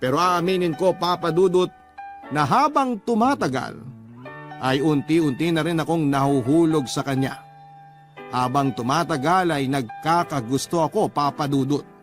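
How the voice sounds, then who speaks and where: A man's voice: fairly high pitch, moderate pace, faint music behind. A Filipino man giving a dramatic first-person narration of a radio love story.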